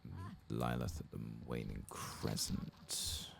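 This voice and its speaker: A man giving soft-spoken, partly whispered ASMR gaming commentary, casually swearing and thinking aloud. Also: rumbling low, animated, slow pace, creaky, a little hiss or hum. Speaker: host of an ASMR game playthrough.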